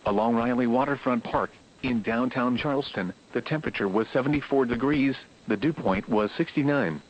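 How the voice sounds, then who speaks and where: A synthetic man's voice formally reading a scripted bulletin as an automated weather radio announcer. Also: mid-range voice. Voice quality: synthetic text-to-speech voice. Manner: formal, impersonal. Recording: muffled lo-fi weather radio broadcast.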